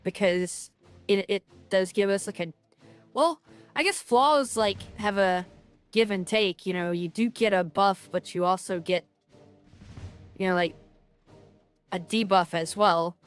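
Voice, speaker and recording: A woman talking through her game as a streamer, rambling and filling her talk with "like" and restarts, with a loud voice. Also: mid-range voice, unhurried, long pauses, clean tone, faint music behind. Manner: rambling, lots of fillers and restarts. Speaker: gaming streamer. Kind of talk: casual game commentary.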